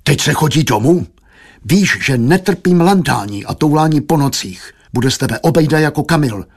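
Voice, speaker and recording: A man voicing a grumpy, weary old shopkeeper father in an audiobook, gruff, growling and scolding. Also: lowish voice. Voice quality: grumbling, growling.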